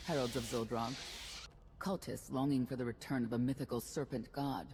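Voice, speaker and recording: A woman's voice: rumbling low, steady tone, normal speed, big-room sound, background noise. Tough, terse underworld woman. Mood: dry, weary. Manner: terse, dry, intimidating. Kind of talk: video game cutscene acting.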